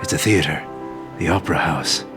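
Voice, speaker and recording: A man playing a grave, mysterious adventurer in a radio drama, speaking in a measured, theatrical, formal way. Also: a bass voice. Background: dramatic music.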